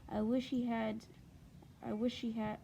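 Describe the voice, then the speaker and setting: A woman voicing a grumbling, worried father in a halting storybook reading. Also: fairly high pitch, level tone, creaky, heavy background noise. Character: grumbling worried father of a baseball-mad girl.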